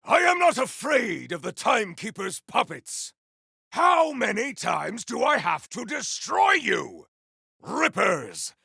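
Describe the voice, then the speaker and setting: A man voicing a grandiose, battle-hungry warrior in a video game, with a rough, gravelly voice and bombastic, theatrical delivery. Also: very high-pitched, very animated, slow pace, pushed and bright, no room sound, clean studio sound, heavily acted. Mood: battle fury. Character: grandiose, battle-hungry male warrior with fire and ice powers. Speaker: playable game character. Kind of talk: video game combat barks.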